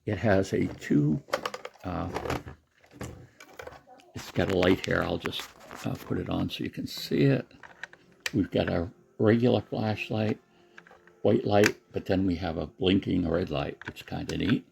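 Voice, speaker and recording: A man's voice: low-pitched, unhurried, vocal fry, pauses often, background noise. A man giving a casual, unscripted product demonstration in a rambling, matter-of-fact way. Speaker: presenter showing a secondhand gadget.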